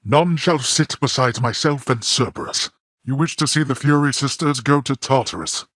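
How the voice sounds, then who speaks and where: An actor playing a stern, scornful old god-king of the underworld in a video game, pompous and imperious, with a gravelly voice and formal, archaic phrasing. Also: mid-range voice, fairly bright tone, no echo, clean studio sound. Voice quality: gravelly, husky. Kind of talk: video game character acting.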